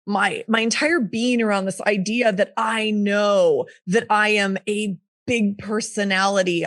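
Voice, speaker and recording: A woman's voice: medium pitch, fairly bright tone, dry recording. A woman in her fifties, a coach and speaker, talking frankly and emphatically as a podcast guest, with the odd swear word. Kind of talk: candid conversational interview.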